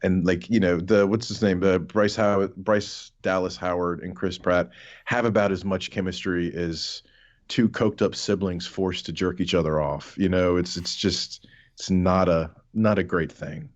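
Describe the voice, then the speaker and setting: A man's voice: deep voice, fairly even intonation, unhurried, highs cut off. A man co-hosting a podcast with casual comic rants, rambling, sardonic and sweary, stammering and repeating words. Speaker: podcast co-host. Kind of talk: casual comic ranting chat.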